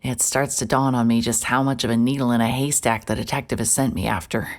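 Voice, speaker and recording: Dry, very low-voiced woman narrator. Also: steady tone, quick pace. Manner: dry, wry, world-weary. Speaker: narrator and lead character, a private investigator. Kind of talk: scripted first-person noir narration. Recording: music bed under narration.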